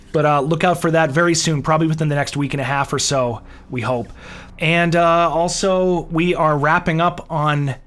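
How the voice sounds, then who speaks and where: A man's voice: fairly high pitch, fairly bright tone. A man co-hosting a podcast in a casual, conversational style. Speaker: podcast co-host.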